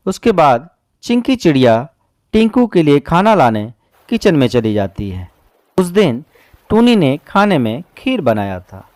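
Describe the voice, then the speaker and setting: A man's voice: on the high side, very animated, measured pace, darkish tone, dry recording, studio quality. A man narrating a children's moral story in a scripted storytelling style.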